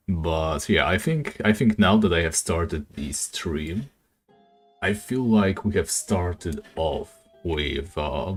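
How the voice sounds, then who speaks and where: A man streaming a game and chatting casually with viewers, speaking English as a second language, with a deep, raspy voice and a relaxed, rambling manner full of fillers. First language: not English. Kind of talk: casual live-stream chat while gaming.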